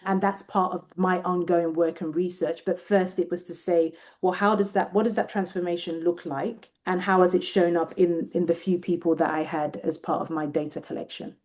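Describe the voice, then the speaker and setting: British-accented woman, low mellow reflective voice. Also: fairly even intonation, normal speed, hardly any pauses. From Nigeria and Britain. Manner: thoughtful, searching for words, many restarts. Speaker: guest researcher. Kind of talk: reflective interview conversation.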